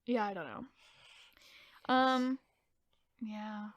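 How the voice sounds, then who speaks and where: A woman's voice: medium pitch, extremely slow, no echo. A woman co-hosting a podcast, moving between informal chat and reading aloud from notes. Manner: informal, filler-heavy, hedging retelling. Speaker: podcast co-host telling the story.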